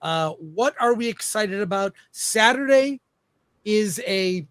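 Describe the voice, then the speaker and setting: A man's voice: sits high, animated, slow, a bit bright, smooth, no room sound. A middle-aged man hosting a casual panel talk, putting questions to his guests. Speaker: show host.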